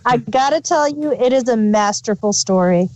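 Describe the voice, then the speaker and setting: A woman's voice: fairly high pitch, lively intonation, moderate pace, darkish tone, highs cut off, slight background noise. A woman bantering casually as a podcast co-host in a review discussion.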